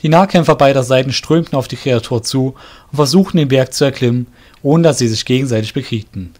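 A man narrating a scripted fantasy audio drama in a measured storybook manner. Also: mid-range voice, a little mellow.

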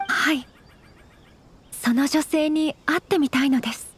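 A woman playing a polite, refined young noblewoman in a Japanese anime game, with formal, gently measured speech. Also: sits high, steady tone, slight background noise. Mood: earnest, hesitant. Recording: music under the voice.

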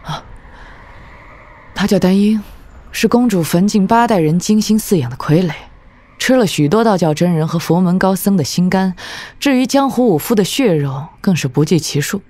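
A woman playing a proud, formidable martial-arts demon lord in an audio drama, with an imperious, measured delivery. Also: on the low side, animated, mellow, no room sound. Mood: grave, cool.

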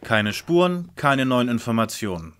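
A man's voice: mid-range voice, very animated, moderate pace, a bit bright, slight background noise. A man playing an earnest, methodical young detective leader with formal, precise speech in a radio play parody. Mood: focused.

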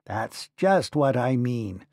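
Guy doing a mean bossy giant sorcerer, slow n commanding, gravelly, kids audiobook. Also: medium pitch, big pitch swings, darkish tone, dry recording, studio quality. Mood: stern, threatening. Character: stern, menacing male giant sorcerer, a cruel master.

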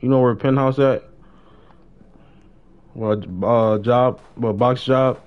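A man's voice: medium pitch, fairly even intonation, takes it slowly, clean tone, muffled recording. A young American man livestreaming a game, chatting casually in slang and repeating short phrases. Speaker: live streamer playing a role-play game. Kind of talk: casual gaming chat.

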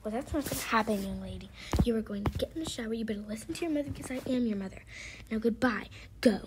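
A woman's voice: fairly high pitch, quick pace, background noise, natural voice. Woman playing a bossy, exasperated mother. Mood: bossy, impatient. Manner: bossy, scolding, impatient. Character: stern, exasperated mother scolding her young daughter. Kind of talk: pretend-play family roleplay.

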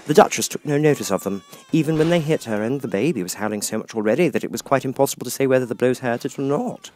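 A man reading a children's storybook as an audiobook narrator, in expressive scripted narration. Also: mid-range voice, fast.

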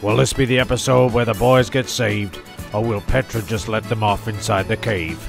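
Creaky-voiced man narrating a comic tale. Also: lowish voice, steady tone, normal speed, over music. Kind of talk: scripted comic storytelling narration.

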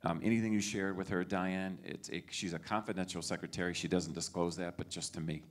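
Deep-voiced reassuring male superintendent. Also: fairly even intonation, a little fast. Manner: reassuring, with frequent tag questions. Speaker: school superintendent. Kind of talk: public meet-and-greet address. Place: library auditorium. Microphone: handheld microphone.

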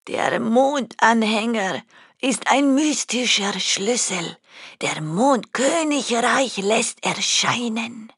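An old village chieftess from a video game, speaks very slowly in a husky, aged voice. She is wise and solemn, telling things in short broken phrases with an ominous air. The recording is close and dry. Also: on the high side, a bit bright, dry recording, exaggerated voice. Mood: solemn, mystical. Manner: slow, broken clipped phrasing, ominous storyteller. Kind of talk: video game character acting.

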